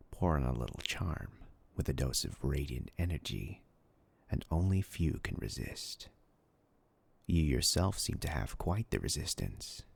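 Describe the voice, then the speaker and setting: A man voicing a sinister, arrogant demon in a dark roleplay monologue, taunting and seductively menacing, with a husky, growling voice. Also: extremely low pitch, exaggerated voice. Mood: quiet threat. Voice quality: gravelly, husky, breathy growl. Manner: slow, taunting, theatrical.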